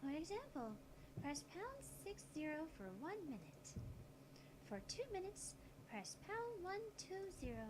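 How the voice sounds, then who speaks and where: Visual novel phone guide, acted by a woman: cute, girlish, very high and lilting, noisy recording. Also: big pitch swings, a little slow, full character voice. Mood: polite, patient. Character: cute girlish automated phone guidance voice, polite and patient.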